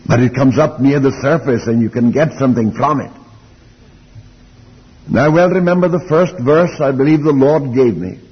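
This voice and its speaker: Elderly preacher, muffled in a reverberant hall. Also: male voice, mid-range voice, husky. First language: Welsh. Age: older, elderly. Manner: deliberate, reflective, with rhetorical questions and repeated phrases. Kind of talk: Bible teaching sermon.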